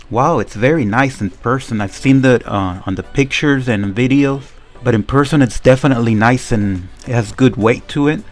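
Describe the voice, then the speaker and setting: A man giving a casual, informal product demo, explaining things step by step as a reviewer. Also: medium pitch. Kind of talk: casual unboxing and demo commentary.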